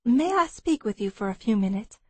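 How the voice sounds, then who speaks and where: A woman voicing a bold, persistent younger woman with crisp, businesslike speech in an audiobook. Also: fairly high pitch, lively intonation, fairly quick, darkish tone, no echo. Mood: crisp, polite. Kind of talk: audiobook character dialogue.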